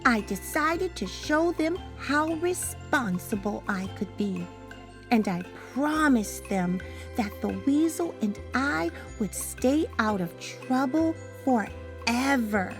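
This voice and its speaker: Imaginative young boy narrator for a storybook, played by a woman: high voice, dramatic, unhurried, light music underneath. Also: exaggerated voice. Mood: wistful, earnest. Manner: dramatic, expressive, drawn-out delivery. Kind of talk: animated storybook read-aloud.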